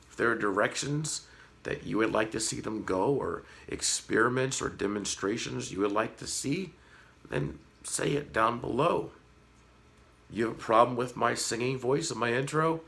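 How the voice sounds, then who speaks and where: A man in his thirties with a slightly hoarse voice, talking frankly and earnestly to his viewers in a casual vlog, with a light sarcastic edge. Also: medium pitch, a bit bright. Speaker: fish-keeping vlogger. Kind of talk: casual talk to camera.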